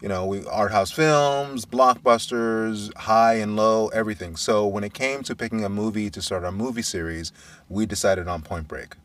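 A man's voice: fairly low pitch, normal speed, a bit bright, far from the mic. A man from Brooklyn hosting a casual chat, enthusiastic and steering the talk with questions. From Brooklyn, United States. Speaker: podcast host.

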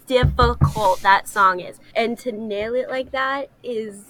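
A woman's voice: a little high, slow pace, a bit bright, faint music behind. A woman co-hosting a casual podcast discussion, speaking emphatically and enthusiastically. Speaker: podcast co-host.

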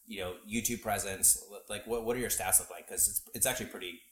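A man's voice: fairly low pitch, fairly even intonation, quick pace, fairly bright tone, husky. A man hosting a podcast interview, talking loosely with lots of fillers, restarts and swearing. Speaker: podcast host. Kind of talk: casual interview chat.

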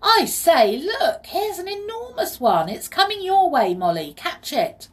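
A woman's voice: medium pitch, very animated, a little slow, darkish tone, recorded from a distance, voice pushed up. A woman voicing a sceptical, bossy young boy in a children's story, brusque and insistent. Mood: excited.

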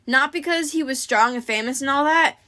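Lady doing a busy middle-aged god guy, easygoing, wry, bright voice, storybook style. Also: high-pitched, brisk, pitched above the performer's own voice. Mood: breezy, curious. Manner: casual, wry, breezy.

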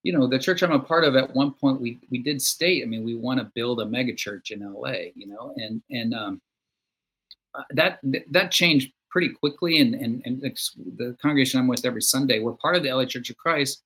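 Husky-voiced male songwriter guest. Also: medium pitch, fairly quick, fairly bright tone, rough recording. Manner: reflective, conversational. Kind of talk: casual conversation.

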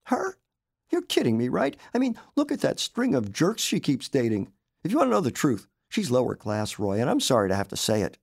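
A demanding, easily wounded wife, sharp and indignant, her voice swinging high and low. A man reads her, with a gravelly edge to the tone that sits far above his usual register. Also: huge pitch swings, fairly quick, exaggerated voice. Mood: scornful. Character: anxious, demanding wife, adult woman.

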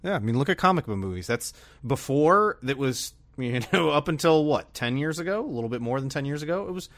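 A man's voice: on the high side, expressive, a little fast. A man in casual podcast chat, jokey and given to chuckling as he talks. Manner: jokey, chuckles through his talk.

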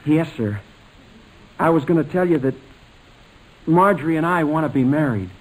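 An actor playing a polite, nervous young suitor in a 1940s radio comedy, speaking in a deferential, hesitant way. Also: male voice, on the high side, animated, moderate pace, poor audio. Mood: earnest, nervous. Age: young adult.

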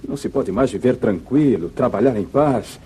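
An actor playing an important, troubled small-town banker, grave and formal, in a 1950s radio serial. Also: male voice, mid-range voice, expressive, normal speed, dark tone, breathy edge. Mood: troubled, complaining.

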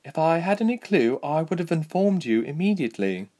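Man voicing an easygoing elf fighter woman, pitched up. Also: very animated, a little fast, warm tone. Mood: matter-of-fact. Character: Lieselot, easygoing dryly teasing female elf fighter.

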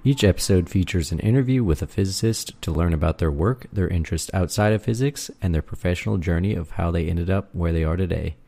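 A man hosting an interview podcast, reading a scripted introduction and asking questions in a steady, measured way. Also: sits low, creaky, breathy edge. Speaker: podcast host.

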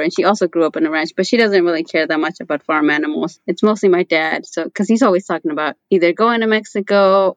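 A woman's voice: lowish voice, no echo, dull audio. A young woman in her twenties, a guest on a podcast, chatting in an easygoing way about her background. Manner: easygoing, talks in long runs with "like" and "you know". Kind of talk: casual conversation.

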